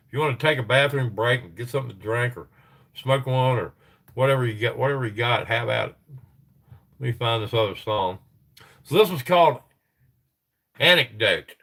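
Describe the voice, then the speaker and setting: A middle-aged man hosting a casual livestream, reading sources aloud and chatting loosely with his viewers. His voice is getting tired and hoarse. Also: mid-range voice, fairly bright tone. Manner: rambling, reads sources aloud, chats with viewers. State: voice tiring, gets hoarse. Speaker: livestream host.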